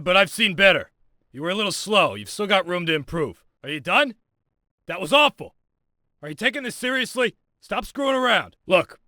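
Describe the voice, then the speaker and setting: A brusque military training instructor from a video game. His voice is fairly high for a man, with a forceful, sharp edge, and he is blunt and commanding in a very dry, close recording. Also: dry recording. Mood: approving, then stern, scolding. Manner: commanding, blunt, clipped orders. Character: brusque, foul-mouthed male military firearms drill instructor. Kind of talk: scripted game voice lines, instructional and barked commands.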